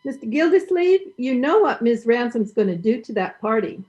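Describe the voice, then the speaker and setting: A woman's voice: on the high side, big pitch swings, normal speed, darkish tone. A woman playing a plain-spoken, gossipy housekeeper in an old-time radio comedy, folksy and emphatic, on dull call audio. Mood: teasing relish.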